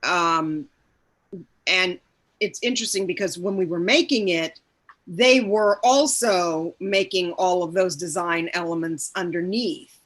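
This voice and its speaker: Female actor, casual discussion; slow, pause-filled delivery, working out thoughts aloud. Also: on the low side, fairly bright tone. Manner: halting, thinking aloud with frequent pauses. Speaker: actor in an audio play cast. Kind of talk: casual panel chat.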